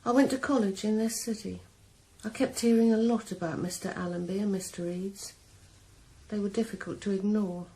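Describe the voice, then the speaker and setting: An actress playing a young woman killer calmly confessing her revenge in a 1980s radio drama. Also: medium pitch, close to an everyday voice, rough recording. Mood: cold confessing. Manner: calm, measured, quietly cold. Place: sauna.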